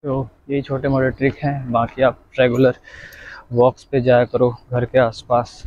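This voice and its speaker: Flat-voiced man giving walking tips. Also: mid-range voice, measured pace, muffled recording, slight background noise. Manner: chatty, instructive, addresses viewers directly. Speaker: vlogger. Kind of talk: casual advice vlog. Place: outdoors, walking in the sun.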